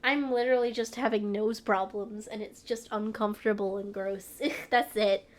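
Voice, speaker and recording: A woman's voice: medium pitch, fairly even intonation, moderate pace. A young woman with a stuffy nose, chatting casually and rambling on a live stream from home. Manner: rambling, trailing off, frequent laughs.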